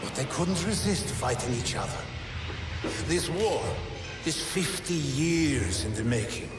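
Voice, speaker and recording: Cold war villain speech in a game, super slow, far off and echoey, menacing. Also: male voice, on the high side, lively intonation, over music. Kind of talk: video game villain monologue. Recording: distant, echoey game monologue.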